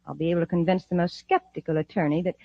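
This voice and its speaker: A woman's voice: fairly low pitch, steady tone, rapid-fire, gravelly, no echo. An actress playing a confident, commanding woman crime boss in a 1950s science fiction radio serial. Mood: smug, scheming. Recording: dull, dry old radio recording.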